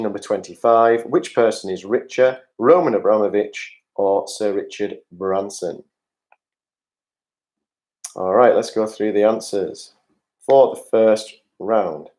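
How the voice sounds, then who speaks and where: A man's voice: on the low side, darkish tone. Quiz host: man, jokey and chatty, reading questions and answers over a video call. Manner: jokey, chatty asides to players, reads questions aloud.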